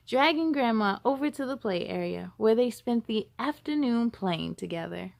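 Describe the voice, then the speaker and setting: A woman reading a children's storybook aloud as a storytime host, with expressive, emphatic delivery. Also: a little high, normal speed, vocal fry. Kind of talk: animated children's storybook narration.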